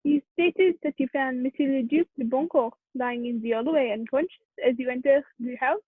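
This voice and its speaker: Woman doing a stern formal police prefect in a radio play, high voice, dry tinny phone-like audio. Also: normal speed, slightly rough. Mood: formal, probing. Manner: formal, authoritative, questioning. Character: formal, stern male French prefect of police presiding at trial. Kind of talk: amateur radio play acting. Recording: thin, narrow phone-like sound.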